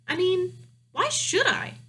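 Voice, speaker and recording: A sweet, tender-hearted little princess with a high, light, girlish voice performed by a woman reading a storybook. She is innocent but stubborn, insisting and questioning as a child does. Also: big pitch swings, slow pace, sharp tone, exaggerated voice. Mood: stubborn protest.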